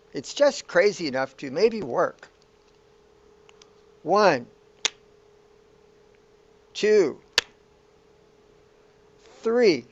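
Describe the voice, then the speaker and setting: A man's voice: sits high, gravelly, exaggerated voice. A man playing an anxious, bewildered hero in a radio play, fretful and pleading, on a dull lo-fi recording. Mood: exasperated. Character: anxious, bewildered hero stranded in a surreal world.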